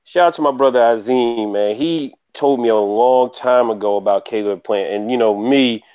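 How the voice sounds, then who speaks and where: A man gives his take as a casual boxing panelist. His pitch is average and his talk is colloquial, punctuated with "man". The recording is very dry and a little dull. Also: moderate pace, a little mellow, smooth, no echo. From Philadelphia. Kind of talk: casual sports commentary. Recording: dry, slightly dull lo-fi audio.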